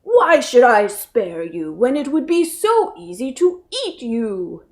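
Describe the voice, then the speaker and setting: A woman voicing a big, proud, hungry male lion for a children's storybook reading, gruff and booming. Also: big pitch swings, slow pace, darkish tone, exaggerated voice.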